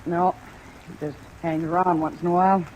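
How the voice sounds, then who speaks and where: A woman's voice: lowish voice, moderate pace, lo-fi sound, slight background noise, lightly acted. Offhand, evasive hotel dame played by a woman. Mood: evasive, guarded. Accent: American. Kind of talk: 1940s radio drama acting. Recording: old radio recording under steady rain sound.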